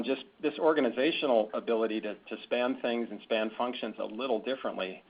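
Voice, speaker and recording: Dull-sounding man explaining business, halting delivery. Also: mid-range voice. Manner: halting, repeats words and phrases. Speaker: company executive on an earnings call. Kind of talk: semi-formal business explanation in answer to questions. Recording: dull, band-limited conference call audio.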